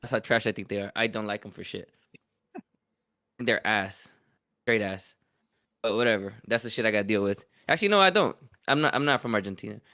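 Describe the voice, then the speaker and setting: Bright-voiced Southern California man, blunt and slangy. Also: on the low side, fairly quick, long pauses, dry recording, studio quality. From Carson, Los Angeles area, USA. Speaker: sports show co-host. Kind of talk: casual fan banter about soccer.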